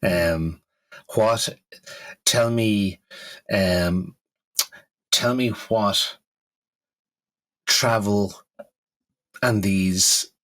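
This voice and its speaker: A man who works as a therapist, speaking in a calm, measured way and asking reflective questions as a podcast co-host. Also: on the low side, low-quality recording.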